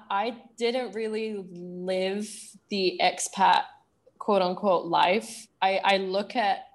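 Thoughtful low-voiced young British woman. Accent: British English with a Singaporean tinge. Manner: thoughtful, many ums and restarts. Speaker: guest. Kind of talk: conversational interview.

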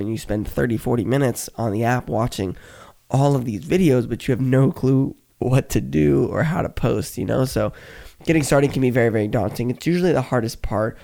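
Man, young adult, podcast host, hoarse, raspy, gravelly, casual chatty delivery, filler words. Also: mid-range voice, a little mellow. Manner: chatty, many "um" and "like" fillers, addresses listeners as guys. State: slightly hoarse voice. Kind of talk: casual solo podcast talk.

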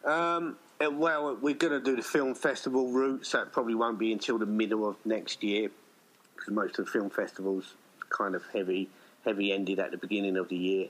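A man's voice: on the low side, steady tone, a little fast, low-quality recording. A middle-aged man chatting casually as an interviewed writer-director, rambling and anecdotal. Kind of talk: casual chat.

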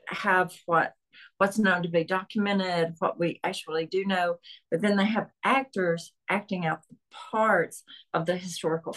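A woman's voice: mid-range voice, a bit bright, poor audio. A woman from Tennessee with a Southern accent, a historian and author chatting as a guest on a podcast interview. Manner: chatty, friendly, with frequent restarts.

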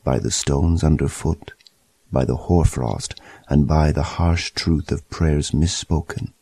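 A man's voice: rumbling low, slow, vocal fry, warm tone, husky. A man reciting a poem as a dramatic literary reading. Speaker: poetry reader.

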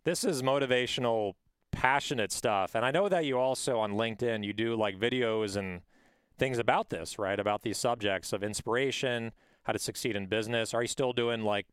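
A man's voice: medium pitch, a little fast, clear, resonant tone, no room sound. A man hosting a podcast, upbeat and encouraging, often checking in with "right?". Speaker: podcast host. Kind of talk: casual interview chat.

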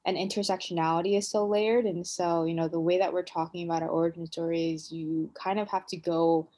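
A woman's voice: on the low side, level tone, fairly quick, dark tone. A woman co-hosting a podcast, telling her own story in a reflective, casual way with many fillers and restarts. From United States. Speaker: podcast co-host.

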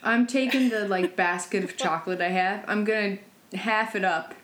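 A woman's voice: mid-range voice, a bit bright. A woman chatting casually, giggly and rambling, sounding stuffed up. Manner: giggly, rambling, fast bursts. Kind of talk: casual chat. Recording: echoey room.

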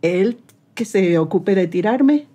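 Mexican woman with a mellow voice. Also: medium pitch, expressive, slow. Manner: earnest, encouraging, faith-driven advice. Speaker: English teacher, guest. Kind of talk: interview conversation.

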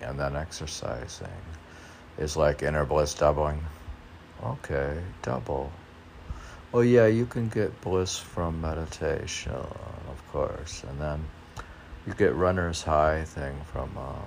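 Male, very low voice with heavy creak, rambling poet-podcaster mixing reading and asides, faint background noise. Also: darkish tone. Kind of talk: reading poems with rambling off-the-cuff commentary.

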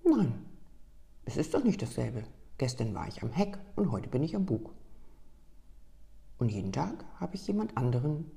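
Female storyteller, hushed whispered reading, slow and soft, husky low register with fry.

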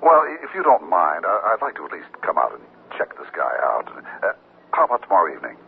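A thin old radio broadcast carries a suave, possessive former suitor. His voice is fairly low and creaky, and he insinuates with little pauses in seventies radio drama style. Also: huge pitch swings, phone-quality sound, exaggerated voice. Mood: coaxing, possessive. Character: Tom, smooth, possessive old flame of a married woman. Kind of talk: 1970s radio drama acting.

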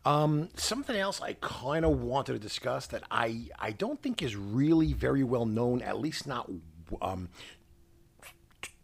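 A middle-aged man with a New York accent, casually explaining things as a podcast co-host in a measured, halting way. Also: medium pitch, animated, vocal fry.

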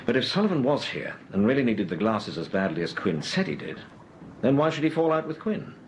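Guy acting as gentleman sleuth in an old-style radio play, urbane n inquisitive, voice moves around a lot, bit of background noise. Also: medium pitch, big pitch swings, brisk, close to an everyday voice. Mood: musing, curious. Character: calm, inquisitive gentleman amateur detective, devoted husband. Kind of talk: BBC radio drama acting, period crime serial.

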